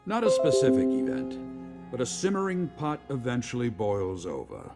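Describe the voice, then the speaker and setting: An actor playing a weary, dutiful middle-aged legion commander in a video game, speaking in a measured, formal, authoritative way. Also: male voice, mid-range voice, huge pitch swings, gravelly, loud music behind the voice. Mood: dutiful, regretful. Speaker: game character, military commander. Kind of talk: scripted video game dialogue.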